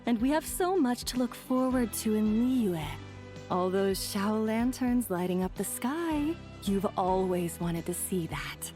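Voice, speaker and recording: A woman playing a gentle, comforting mother in a video game, soothing and tender. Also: medium pitch, animated, unhurried, soft background music, lightly acted.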